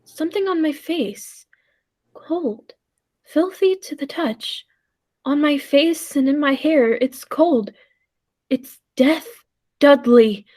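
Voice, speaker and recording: A woman's voice: high-pitched, takes it slowly, pauses often, a little mellow. A woman playing an earnest, thoughtful time traveller who narrates a radio play with dramatic storytelling. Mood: tense, dread.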